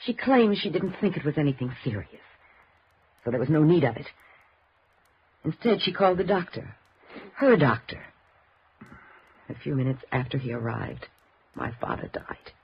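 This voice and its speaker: Wounded, suspicious daughter, 1950s radio drama. Very animated pitch, halting yet insistent, muffled vintage sound. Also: female voice. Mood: bitter, suspicious. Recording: dull, noisy old radio recording.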